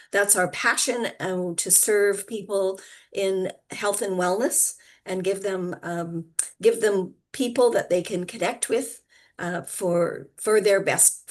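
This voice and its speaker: A woman hosting an online wellness event, introducing speakers in a loose, hesitant way with many fillers. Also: lowish voice. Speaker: host and founder of an online wellness platform.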